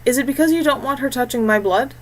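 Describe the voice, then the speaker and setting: Woman voicing a high, exasperated young male adventurer. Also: fast. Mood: questioning. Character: wounded, bewildered young man, sighing fantasy adventurer. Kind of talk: audiobook character acting.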